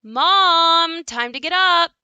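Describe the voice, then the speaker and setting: A woman's voice: very high voice, slow pace, sharp tone, dry recording, dull audio, full character voice. A woman acting in an audio play as an uneasy daughter who recalls growing up in a haunted house. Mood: pleading. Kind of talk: audio play monologue and dialogue.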